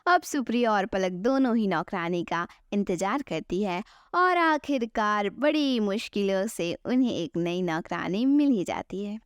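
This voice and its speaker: A woman's voice: mid-range voice, expressive, measured pace, hardly any pauses, smooth, dry recording, clean studio sound. A woman narrating a scripted moral bedtime story as the storyteller.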